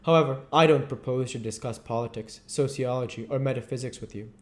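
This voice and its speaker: A man voicing a witty, vain English gentleman from a novel, with an exclamatory, teasing and pompous manner. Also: mid-range voice, brisk, lightly acted. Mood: teasing, opinionated.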